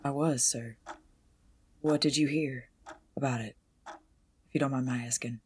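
A woman's voice: rumbling low, fairly even intonation, unhurried, a little hiss or hum. A woman playing a deferential, guarded stunt driver in an audio drama, speaking politely with folksy, careful hesitations. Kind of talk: 1920s-set audio drama roleplay.

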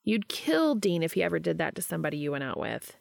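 Wry, creaky young male friend voiced by a woman. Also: lowish voice, big pitch swings, fast, dry recording, exaggerated voice. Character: young man, reluctant, protective, wry friend.

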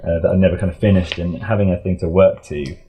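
A man's voice: sits low, steady tone, quick pace, warm tone. A man talking casually as an interview guest, a composer speaking about his creative work.

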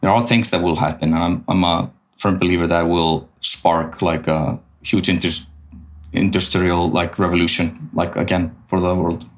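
A man with a very low, deep voice answers questions as a podcast guest. He talks in a halting way, restarting sentences as he works out his thoughts. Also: level tone. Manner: hesitant, restarts often, thinks aloud. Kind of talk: casual business interview.

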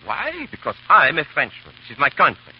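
An actor playing an earnest, worried French patriot and loyal comrade in 1930s radio drama style, intense and dramatic. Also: male voice, on the high side, huge pitch swings, fairly quick, slightly rough, heavily acted. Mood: impassioned. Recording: muffled, hissy 1930s radio recording.

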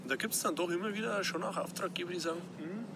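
A man with a Bavarian accent hosts a podcast and asks his questions in a measured way. His voice sits a little above the usual pitch for a man. Clear traffic noise runs behind him. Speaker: podcast host. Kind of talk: podcast interview.

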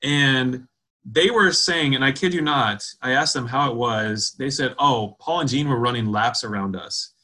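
A man hosting a video call interview, friendly and halting, with many fillers. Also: mid-range voice, bright, forceful tone. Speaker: host.

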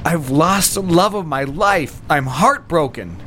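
An actor playing a manipulative, condescending husband in a period audio drama, with a patronizing, coaxing way of talking. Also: male voice, fairly high pitch, animated, measured pace, bright, forceful tone, slight background noise. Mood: wounded, guilt-tripping. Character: manipulative, condescending husband gaslighting his fragile wife.

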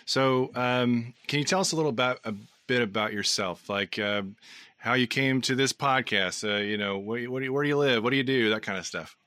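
A man's voice: medium pitch, fairly quick, sharp tone, dry recording. A man hosting a casual podcast interview in an informal, effusive, rambling way. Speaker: podcast host.

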